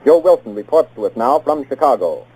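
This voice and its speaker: A man's voice: mid-range voice, expressive, brisk, dark tone. An American man anchoring a scripted 1940s radio newscast in a formal, clipped announcer's delivery. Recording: muffled 1940s radio broadcast.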